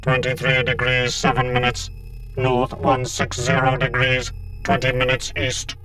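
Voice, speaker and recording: Cold male cyborg leader, monotone, slow, clipped, commanding, menacing, audiobook villain. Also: medium pitch, faint music behind, rough recording. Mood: cold, methodical. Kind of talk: dramatised audiobook reading.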